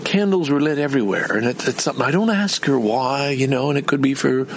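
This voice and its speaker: A man's voice: mid-range voice, fast, noisy background. An older man from Canada in a rambling personal vlog, meandering and chatty with many restarts. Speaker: vlogger.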